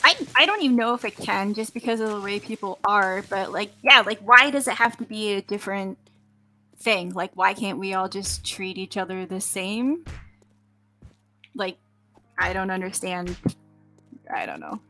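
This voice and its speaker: A young adult woman chatting casually as an interview guest, informal and halting, peppering her speech with filler "like". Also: mid-range voice, bright, forceful tone, soft background music. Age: millennial, young adult. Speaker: interview guest, voice actress and gamer. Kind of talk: casual chat.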